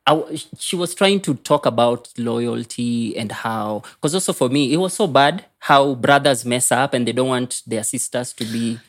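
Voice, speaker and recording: A man's voice: mid-range voice, normal speed, few pauses. A man with a Kenyan accent, a podcast guest telling personal stories in a casual, confessional way and slipping between English and Swahili.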